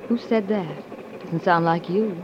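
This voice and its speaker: A woman's voice: lowish voice, takes it slowly, background noise. An actress playing an ambitious, romantic newspaperwoman, earnest and witty, in 1940s radio drama style. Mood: guarded. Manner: earnest, dramatic, clipped wit. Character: ambitious, romantic American newspaperwoman torn by love. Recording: muffled 1940s radio recording.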